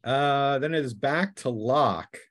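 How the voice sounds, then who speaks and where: A man runs a tabletop role-playing game in a mid-pitched voice with a close, dry sound. He narrates casually as game master and teases his players playfully. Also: takes it slowly, a bit bright, no room sound.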